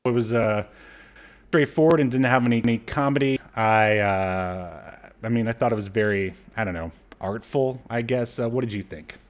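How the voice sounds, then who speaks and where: A man in his thirties hosting a casual review chat, speaking in a halting, hesitant way. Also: on the low side. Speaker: podcast host. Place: small room at home, video call.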